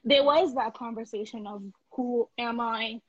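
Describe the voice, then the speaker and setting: A woman's voice: a little high, fairly even intonation, a little slow, dull audio, crowd noise. A young woman from Tanzania hosting a casual discussion. She talks reflectively and conversationally, often checking in with "you know".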